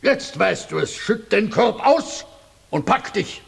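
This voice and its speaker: An actor playing a gruff, bullying forest ranger in a children's audio play, with a blustering, commanding manner. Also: male voice, high-pitched, expressive, slow pace, full character voice. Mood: angry, threatening.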